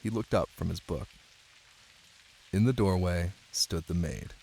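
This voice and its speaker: A man doing a cozy boyfriend ASMR bedtime reading, soft, intimate and playful. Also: deep voice, unhurried, vocal fry, low-quality recording. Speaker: ASMR voice actor playing a caring boyfriend. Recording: light hiss under rain ambience. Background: rain.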